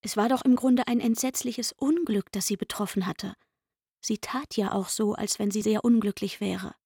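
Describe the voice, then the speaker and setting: A woman narrating a literary radio drama with a husky, breathy voice and a knowing, catty manner. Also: medium pitch, fairly even intonation, moderate pace, warm tone, no room sound, studio quality.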